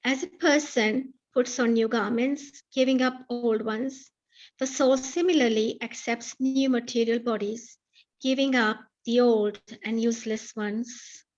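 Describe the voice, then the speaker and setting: A woman reading English translations of verses aloud on a video call, in a measured, steady way. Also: a little high, lo-fi sound.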